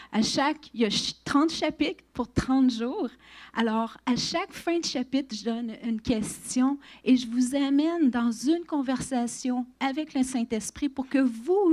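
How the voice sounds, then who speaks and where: A woman in her fifties with a Québécois accent, introducing herself as a guest speaker at church, chatty with frequent fillers and restarts. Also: fairly high pitch. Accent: Québécois French. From Quebec. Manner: warm, chatty, laughs often, many restarts and fillers.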